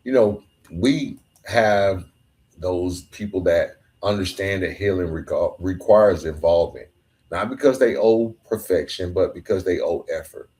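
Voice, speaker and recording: A man's voice: deep voice, measured pace, a little mellow. A man hosting a podcast, giving an earnest, emphatic solo talk in a colloquial way, sometimes stumbling over his words. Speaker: podcast host. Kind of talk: solo motivational monologue.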